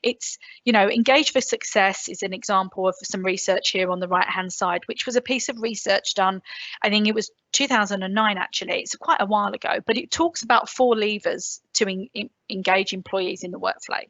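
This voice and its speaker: A woman's voice: mid-range voice, brisk, fairly bright tone, no echo, dull audio. London woman presenting on a video call. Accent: London English. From England. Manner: chatty, filler-heavy, self-deprecating asides. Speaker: conference presenter. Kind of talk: online conference presentation. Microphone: computer mic on a video call.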